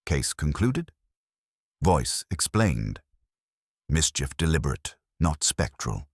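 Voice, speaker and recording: Man playing a very deep, measured police inspector. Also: sing-song, slightly rough, no room sound, clean studio sound, full character voice. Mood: mild, reflective. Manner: soft-spoken, measured, with an edge of finality. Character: mild, unhurried, shrewd male police inspector. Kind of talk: dramatised mystery storytelling.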